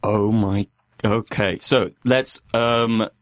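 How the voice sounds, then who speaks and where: A man's voice: on the low side, steady tone, slow pace, dry recording, studio quality. A young man in his twenties, a university improv student, chatting as a podcast guest in a rambling, laughing way. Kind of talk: casual chat.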